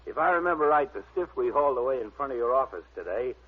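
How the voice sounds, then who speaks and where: A man playing a gruff, dry American police lieutenant in an old-time radio drama. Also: medium pitch, expressive, quick pace. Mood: matter-of-fact. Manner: dry, grumbling. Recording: tinny old radio recording.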